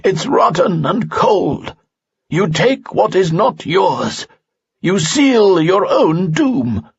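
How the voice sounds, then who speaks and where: A man voicing a stern, wise old hermit who talks slowly and gravely, scolding. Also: sits high, very animated, no echo, pitched above the performer's own voice, exaggerated voice. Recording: dull lo-fi recording.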